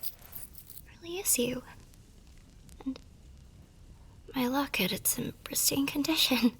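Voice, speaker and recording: A woman playing a tender, wistful young army nurse in an ASMR romance roleplay, with a soft, breathy voice that often drops toward a whisper and an emotive delivery. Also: high-pitched, takes it slowly, full character voice. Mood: stunned, tender. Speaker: voice actor in a romance audio roleplay. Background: music.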